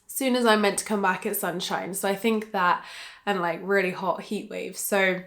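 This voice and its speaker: A woman's voice: mid-range voice, fairly even intonation, moderate pace, fairly bright tone. Chatty thirty-year-old woman with a slight nasal cold. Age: 30s. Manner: chatty and bubbly, many fillers like "like" and "um". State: recovering from a cold. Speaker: life coach and YouTuber. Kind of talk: casual vlog chat to camera.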